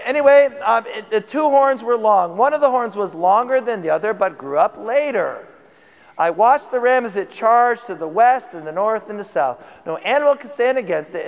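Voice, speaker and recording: A man preaches a sermon with a fairly high voice, his throat tired from singing. He talks conversationally and asks the congregation questions. His voice carries a long reverb from a church hall. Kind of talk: sermon teaching.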